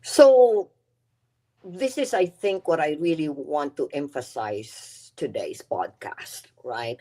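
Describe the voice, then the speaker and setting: Woman, middle-aged, Filipino accent, low voice, earnest, repeats words, podcast guest. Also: expressive, takes it slowly, dark tone. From Philippines. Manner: earnest, repeats words while building her point. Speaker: guest, nonprofit CEO. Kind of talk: podcast interview.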